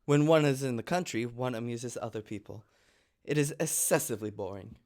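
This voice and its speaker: A man reading the part of an earnest, easily exasperated upper-class gentleman in love, in a theatrical, lofty manner for a stage comedy. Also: medium pitch, a little fast, close to an everyday voice. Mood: bored, airy.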